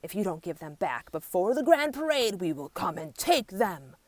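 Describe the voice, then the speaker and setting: A woman voicing an angry crowd of jungle animals in a storybook, growling and shouting. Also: lowish voice, sing-song, moderate pace, no echo.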